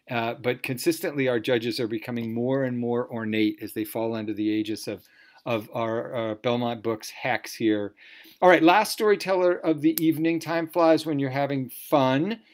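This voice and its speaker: A man hosting an online story slam off the cuff, with wordy, playful mock-grand commentary. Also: medium pitch. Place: online video event. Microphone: video call.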